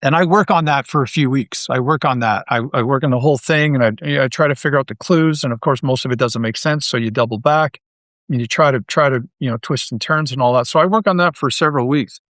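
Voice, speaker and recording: A man from Canada chatting casually as an interview guest, an animated storyteller who rambles and repeats his phrases. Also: medium pitch, quick pace, no echo, clean studio sound. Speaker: interview guest, audio drama writer.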